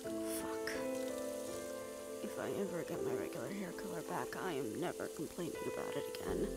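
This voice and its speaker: A woman's voice: low voice, animated, measured pace, pauses often, over loud music. A woman performing an audio roleplay, talking in casual, profane, wry banter.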